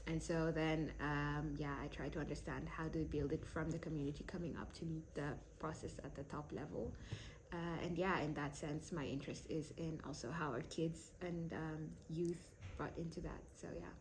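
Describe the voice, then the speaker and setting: A woman's voice: low-pitched, fairly even intonation, normal speed, noisy background. A young woman from South Sudan, raised in Kenya, answering interview questions thoughtfully with many fillers and restarts. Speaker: PhD candidate.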